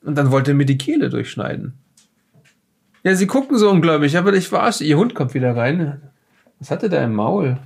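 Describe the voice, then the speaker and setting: A man playing a shifty former safecracker in an audio drama, self-justifying and rambling, with a gravelly voice and stammered restarts. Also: a little high, big pitch swings, brisk, darkish tone, exaggerated voice. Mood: agitated, insistent. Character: shifty former safecracker defensively recounting being attacked and kidnapped. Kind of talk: improvised audio drama dialogue.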